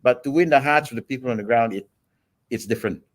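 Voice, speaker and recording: A man in his forties from Singapore, a guest on an interview show, telling his story in a relaxed, steady way with many ums. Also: medium pitch, fast talker. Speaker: guest entrepreneur.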